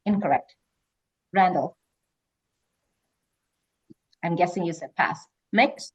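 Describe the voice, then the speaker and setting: A woman with a fairly low voice works as a quiz host. She reads out questions and moderates informally, over slightly dull, lo-fi call audio. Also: slow pace, slightly rough.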